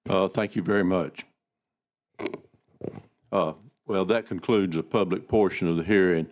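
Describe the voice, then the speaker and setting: A man chairs a committee hearing in a low, deep voice. He calls each speaker up politely and thanks them, with a few uhs along the way. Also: unhurried, darkish tone. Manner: polite, with fillers.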